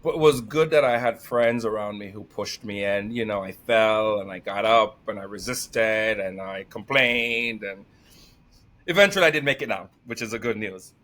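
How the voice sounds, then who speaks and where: A man with a Jamaican accent, a guest telling lively stories in conversation and laughing often. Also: fairly low pitch, moderate pace. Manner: energetic, animated, laughs often. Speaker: podcast guest. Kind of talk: storytelling conversation.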